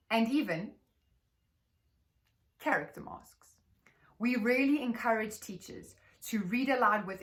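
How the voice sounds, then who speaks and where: Female teacher trainer, South African accent, slightly high register, articulate, measured presentation for educators. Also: fairly bright tone.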